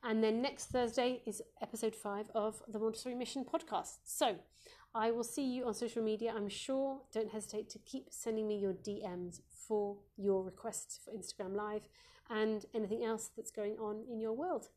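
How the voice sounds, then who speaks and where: Croaky, gentle woman giving parenting advice. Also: fairly high pitch, steady tone, normal speed. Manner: gentle, reassuring, with frequent ums. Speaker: Montessori educator and host.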